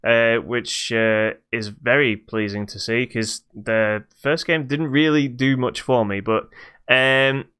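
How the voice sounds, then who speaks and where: Clear, bright-voiced male podcast co-host. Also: medium pitch, fairly even intonation, takes it slowly. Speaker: podcast co-host reviewing games. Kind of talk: casual unscripted game review chat.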